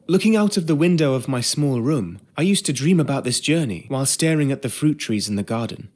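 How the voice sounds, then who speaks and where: An actor playing a polite, reflective ship's steward narrating in a period drama game. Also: male voice, mid-range voice, expressive, fairly quick, darkish tone, natural voice. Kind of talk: scripted first-person period narration.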